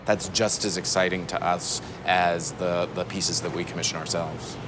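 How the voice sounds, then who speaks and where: A man's voice: deep voice, little pitch movement, moderate pace. A man with an American accent explaining things in a documentary interview. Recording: outdoor city traffic noise.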